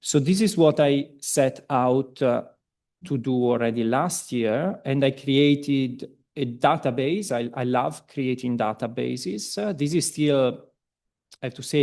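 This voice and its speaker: A man from Italy with an Italian accent, giving a seminar presentation, hesitant with many ums and restarts and relaxed, self-deprecating asides. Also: mid-range voice, creaky. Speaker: seminar speaker.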